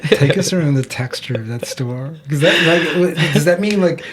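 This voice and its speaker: A man's voice: fairly high pitch, moderate pace, a bit bright. A man hosting a podcast, asking curious, probing questions and often restarting mid-sentence. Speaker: podcast host. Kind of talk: conversational interview.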